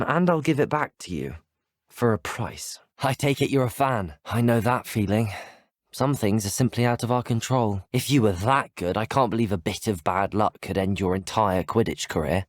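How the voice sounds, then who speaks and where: A man's voice: medium pitch, no echo, studio quality. A young man playing a polite, inquisitive teenage student wizard in a video game, speaking in formal, well-mannered phrases. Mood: intrigued, then curious, questioning. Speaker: video game player character. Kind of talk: scripted video game voice lines.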